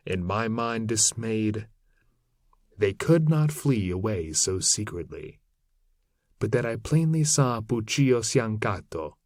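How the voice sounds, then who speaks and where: A man reading classic epic verse aloud as a narrator, in a measured, declamatory manner. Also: lowish voice, dry recording, clean studio sound. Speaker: reader of classic poetry. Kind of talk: literary poetry narration, read aloud.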